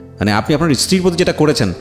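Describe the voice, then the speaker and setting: A man's voice: on the high side, sing-song, rapid-fire. A man with a Bangladeshi accent hosting a radio interview, asking probing questions and commenting, mixing English words into his speech. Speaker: radio show host interviewing a guest. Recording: roomy echo with faint music behind.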